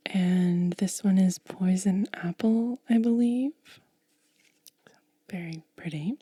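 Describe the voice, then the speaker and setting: A woman doing soft-spoken ASMR, mostly whispering in a gentle, breathy, casual way as she narrates a hands-on demonstration close to the mic. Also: medium pitch, steady tone, no echo. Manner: gentle, unhurried, casual commentary. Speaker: ASMR video creator and host. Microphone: close mic, dry.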